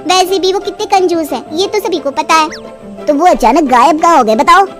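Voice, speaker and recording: A woman voicing a mischievous village child in a cartoon horror tale, with an excitable, exclamatory delivery. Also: extremely high pitch, moderate pace, music in the background, voice pushed up. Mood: arguing. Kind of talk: animated horror fairy-tale acting.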